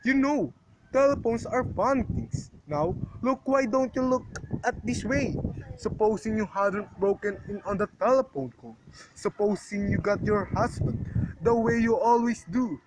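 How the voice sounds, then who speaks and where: A woman's voice: a little high, measured pace, noisy background, close to an everyday voice. A woman playing a weary, dismissive desk police officer in an amateur radio play, placating and patronizing.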